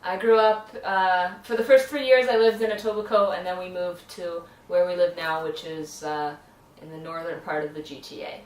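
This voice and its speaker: Young woman, vlog co-host, relaxed and laughing; roomy echo, mic set back. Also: lowish voice, moderate pace, slight background noise. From Canada. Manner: easygoing, laughs between lines. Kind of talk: casual chat. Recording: echoey room, mic at a distance.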